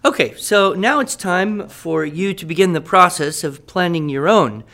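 A man playing a patient writing teacher who gives clear, encouraging instructions. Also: high voice, normal speed, sharp tone. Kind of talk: amateur radio drama acting.

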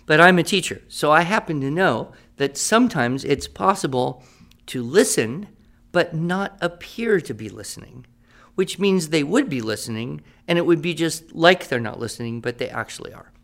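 Guy acting as a writing teacher in a radio play, kinda high voice, patient, explains stuff step by step. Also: expressive, moderate pace, vocal fry, a bit bright. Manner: patient, explanatory, checks for understanding. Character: patient male writing teacher coaxing his students. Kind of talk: improvised-sounding classroom teaching in a radio drama.

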